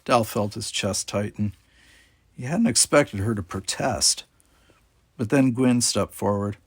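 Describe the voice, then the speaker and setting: A man's voice: fairly low pitch, unhurried, breathy edge, no echo. A man reading an audiobook, narrating a scripted story. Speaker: audiobook reader.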